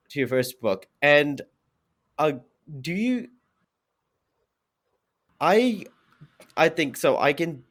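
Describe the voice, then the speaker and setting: A man from New Zealand and the United States hosting a podcast, who asks his questions haltingly with many restarts. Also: medium pitch, fairly bright tone. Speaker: podcast host. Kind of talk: conversational interviewing.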